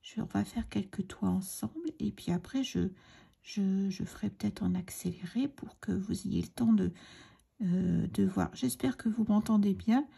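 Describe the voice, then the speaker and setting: Woman, hoarse from a cold, gravelly, mellow, chatty crafting tutorial host. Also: medium pitch, normal speed. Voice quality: raspy, breathy, gravelly. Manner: chatty, hesitant, often half-whispering while working. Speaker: card-making tutorial host.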